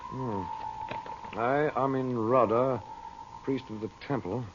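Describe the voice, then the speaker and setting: An actor with an American accent playing a composed, adventurous uncle and magician-hero in an old radio serial. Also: male voice, mid-range voice, takes it slowly. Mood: intrigued. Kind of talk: 1930s-40s American radio serial acting. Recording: muffled old radio recording with hiss.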